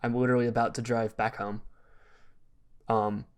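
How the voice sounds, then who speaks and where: A young man with an American accent talks casually on a podcast. His voice is clean and resonant at a middling pitch. He tells things in a loose, rambling, conversational way. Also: little pitch movement, moderate pace, smooth. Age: young adult. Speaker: podcast co-host.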